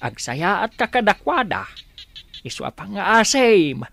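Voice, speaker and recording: An old father in a radio drama, his voice gravelly and bright. He is fond and advising, and his pitch swings widely as he talks slowly. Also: very animated, exaggerated voice. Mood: fond, grateful. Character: elderly father, fond, grateful, advising his grown child. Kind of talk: Ilocano radio drama acting.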